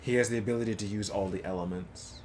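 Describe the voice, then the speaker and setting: A man streams a game with a fairly low voice and an easygoing, explanatory manner. His commentary is casual and live, over clearly audible noise from the game. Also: steady tone, quick pace, darkish tone.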